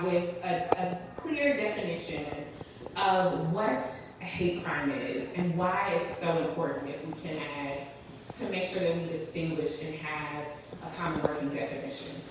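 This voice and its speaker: A woman's voice: sits low, a little slow. A woman moderating a public panel discussion, speaking earnestly with frequent fillers. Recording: echoey room, mic from a distance, background noise.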